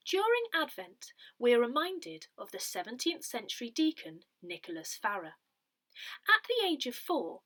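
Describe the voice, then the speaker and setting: Bright-voiced woman reading aloud. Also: fairly high pitch. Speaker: newsletter reader. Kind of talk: scripted read-aloud of a parish newsletter.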